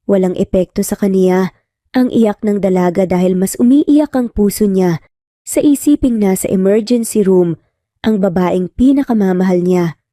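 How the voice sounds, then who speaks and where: Very dark, mellow woman storyteller, dry sound. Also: mid-range voice, normal speed, dry recording. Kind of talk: scripted romance story narration.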